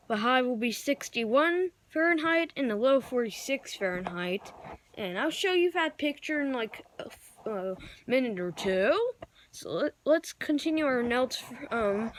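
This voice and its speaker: A woman reading out homemade pretend news bulletins and emergency alerts in a halting, improvised way, with searching pauses. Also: fairly high pitch. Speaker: amateur storyteller acting out a mock emergency broadcast.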